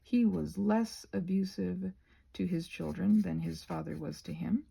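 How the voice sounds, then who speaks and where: A woman chatting in a casual, rambling ASMR style, halting and self-interrupting, often slipping toward a whisper. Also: lowish voice, gravelly, mellow, breathy edge. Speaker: host of a craft ramble video.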